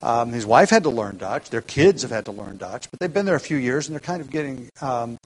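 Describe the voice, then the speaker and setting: Halting man preaching a sermon. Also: medium pitch, lively intonation, breathy edge, poor audio. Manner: halting, many restarts and fillers, chuckles lightly. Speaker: pastor.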